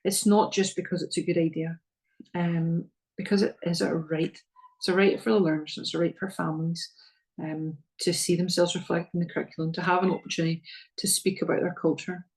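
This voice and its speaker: Northern Irish woman, middle-aged, earnest presenter to parents; flat, slightly gravelly voice, lots of ums and restarts. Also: lowish voice, brisk, poor audio. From Northern Ireland. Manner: earnest, repeats words, frequent ums.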